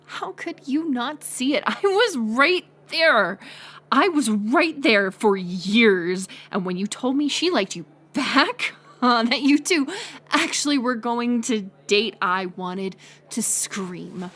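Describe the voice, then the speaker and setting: A woman playing a bitter, hurt college-age former friend turned bully, confessing in a halting, intimate audio drama monologue. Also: fairly high pitch, animated, a bit bright, heavily acted. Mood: anguished, angry. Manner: halting confessional delivery, drifting into breathy near-whispers.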